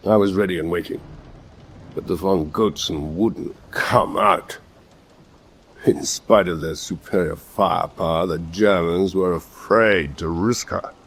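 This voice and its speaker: An actor playing a vain, boastful British naval commander in a radio drama, with a pompous way of talking. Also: male voice, deep voice, unhurried. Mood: self-justifying. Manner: pompous, self-congratulatory.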